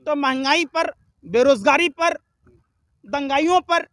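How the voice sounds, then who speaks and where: A man's voice: very high voice, slow, a bit bright, no room sound, clean studio sound. A man speaking in a heated political argument, combative and indignant, with emphatic repetition and rhetorical questions.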